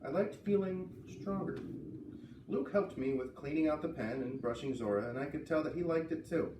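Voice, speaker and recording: A man reading a children's book aloud expressively, with dramatic pauses and emphasis. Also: on the high side. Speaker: storybook reader. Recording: roomy, slightly distant, faint background noise.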